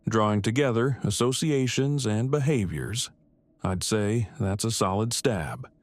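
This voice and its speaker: A man's voice: lowish voice, expressive, a little slow, dry recording. A man playing a wary, fearful character in an audio drama, speaking in stiff, wordy, overly formal phrases. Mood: guarded, nervous.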